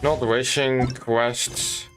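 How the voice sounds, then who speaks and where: A man giving casual live gameplay commentary as a streamer, halting and thinking aloud. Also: mid-range voice, little pitch movement, fairly bright tone. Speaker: game streamer. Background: faint game music.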